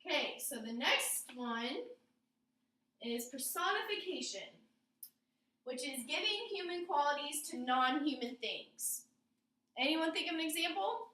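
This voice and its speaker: A woman teacher with a very high voice explains things to a class and often checks in with questions. She is recorded in an echoey room, some way from the microphone. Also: animated, unhurried, bright, forceful tone. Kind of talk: classroom lesson on figurative language. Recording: roomy echo, distant microphone.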